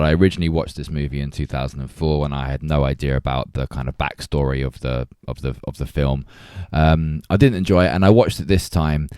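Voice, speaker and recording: A man with a deep, mellow voice hosts a casual film podcast. He speaks reflectively, often repeating a phrase before finishing the thought. The sound is close and dry. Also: smooth, dry recording. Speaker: podcast host.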